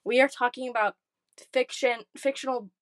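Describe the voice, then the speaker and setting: A woman's voice: on the high side, steady tone, moderate pace, no echo. A young woman co-hosting a casual chat, giggly and rambling with many fillers. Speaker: co-host.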